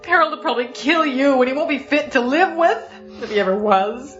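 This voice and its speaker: A woman performs a world-weary, lonely heroine in an audio melodrama. Her voice sits fairly high and aches for comfort. The recording is a little muffled, with music softly underneath. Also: lively intonation, brisk. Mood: forlorn. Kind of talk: melodramatic audio drama acting. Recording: band-limited audio drama with music underneath.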